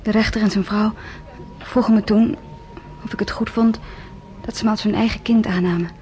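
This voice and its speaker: A woman's voice: medium pitch, steady tone, normal speed, darkish tone, lightly acted. A woman playing an earnest teenage girl. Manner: earnest, hesitant, stumbling over words. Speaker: radio drama actress. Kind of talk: radio play narration and acting.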